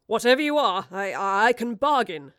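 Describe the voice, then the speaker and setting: A woman's voice: high-pitched, fairly even intonation, a little slow, a bit bright, dry recording, studio quality, pitched above the performer's own voice. A woman voicing a flustered, pompous male playwright, strained and spluttering as if held by the throat, in an audio drama. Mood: panicked. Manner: spluttering, florid.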